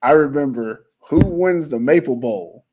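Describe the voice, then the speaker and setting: A man's voice: on the high side, animated, unhurried, dark tone, slightly rough, rough recording. Male podcast host, loose and rambling, pokes fun at himself, easygoing sports banter. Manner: rambling, self-mocking, jokey. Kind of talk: casual sports podcast chat. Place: lives in Finland.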